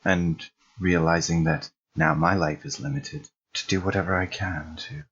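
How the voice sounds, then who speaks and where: A man playing a newly mortal fallen angel in an audio drama roleplay, lost yet protective, with a husky voice on a dull recording. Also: sits low, very animated, normal speed, a bit bright, heavily acted. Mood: solemn resolve. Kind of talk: in-character roleplay monologue. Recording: dull, muffled home recording.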